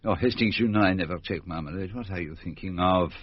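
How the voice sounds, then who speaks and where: An actor playing a fastidious, proud middle-aged detective with a French-speaking European accent and formal, precise, theatrical phrasing in a radio drama. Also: male voice, low-pitched, slightly rough, dry recording. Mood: dismissive. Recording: dull lo-fi radio recording.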